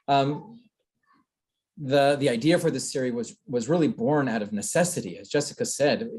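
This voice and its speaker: A man with a medium-pitched voice gives a semi-formal welcome speech as a committee co-chair. He is earnest and halting, often doubling back on his words as he thanks and invites listeners. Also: a little mellow. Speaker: committee co-chair introducing event.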